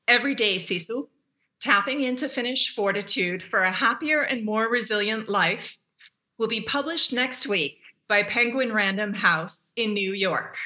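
A middle-aged woman from Canada moderating a panel, speaking in a clear, measured way over a video link with an earphone mic. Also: mid-range voice, sharp tone. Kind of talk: scripted panel introduction. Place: plain room, remote video link. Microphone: earphone headset mic.

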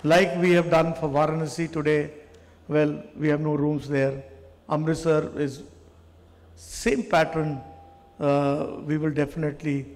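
Middle-aged guy speaking at a podium, slowish and halting, slightly raised pitch, hall echo. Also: a little mellow. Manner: halting, stretches out key words. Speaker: president of a tour operators association. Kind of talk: conference speech. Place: large conference hall, at a podium. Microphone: podium microphone. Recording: reverberant conference hall.

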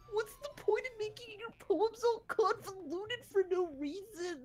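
A woman voicing a pouty, quick-tempered teenage schoolgirl in a visual novel, with a tearful whine. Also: extremely high pitch, exaggerated voice. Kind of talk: amateur visual novel voice acting.

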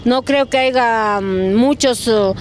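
A middle-aged woman with a high voice answers in a street interview. She explains in a halting, spontaneous way, with faint urban noise around her. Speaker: market trader, interviewee. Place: street under festival tent, outdoors. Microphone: handheld reporter microphone.

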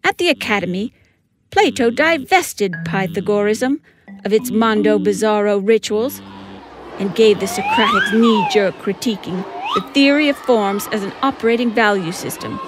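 A woman's voice: a little high, wildly swooping pitch, moderate pace. A woman reading scripted comic narration with theatrical, wry emphasis. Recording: music behind the voice.

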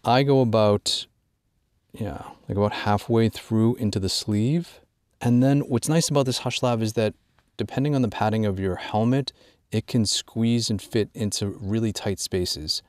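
Man, low voice, informal tutorial presenter, measured emphasis, dry close recording. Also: darkish tone, dry recording. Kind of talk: informal instructional product walkthrough.

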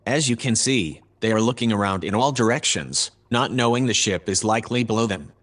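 A man playing a calm, formal artificial intelligence, reporting in a measured, dispassionate way in a sci-fi audio drama. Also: lowish voice. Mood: detached.

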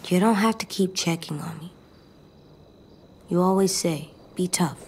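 A woman playing a solemn, stubborn, anxious little boy survivor in a video game drama, speaking in a quiet, earnest, guarded way. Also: lowish voice, unhurried, a little hiss or hum. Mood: guarded, resolute.

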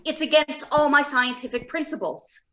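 A woman playing an earnest, uneasy doctor of robot science in a radio drama, deliberate and emphatic. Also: high voice, a bit bright. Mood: uneasy, confessing. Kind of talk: old-time radio drama acting. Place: home, remote video call.

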